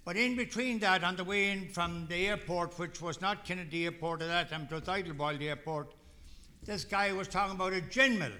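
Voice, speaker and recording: A man's voice: high voice, fairly quick, bright, forceful tone. An older man from Ireland with an Irish accent, telling his own recovery story to a meeting in a folksy, rambling way. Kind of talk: personal testimony talk.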